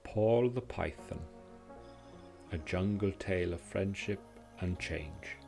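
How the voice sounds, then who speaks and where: A Welsh man gently narrating a scripted bedtime story in a soothing, calming way, as its host. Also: low-pitched, slow, gravelly. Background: music.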